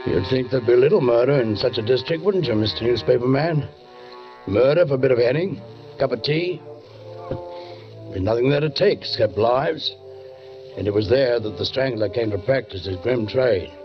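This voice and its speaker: A dull vintage radio recording with faint music carries an actor as a brooding London police sergeant. He is a middle-aged man who speaks formally and ominously, in the measured manner of a 1940s thriller narrator. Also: mid-range voice, lightly acted.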